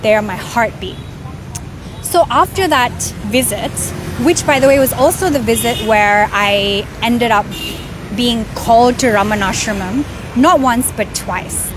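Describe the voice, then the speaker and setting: A young woman, raised in Australia and born in India, telling her spiritual story in an earnest, animated vlog, filmed outdoors on a street. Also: fairly high pitch, unhurried, background noise. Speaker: vlogger. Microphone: camera filming herself. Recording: music clearly under the voice. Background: music.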